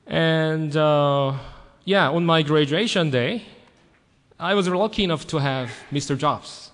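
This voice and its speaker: A man's voice: on the high side, slow, a bit bright. A middle-aged man, a native Korean speaker with a Korean accent, gives an informal conference presentation as a professor, telling anecdotes with light self-deprecating humor. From South Korea. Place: large conference hall, amplified talk. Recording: echoey hall with long reverb.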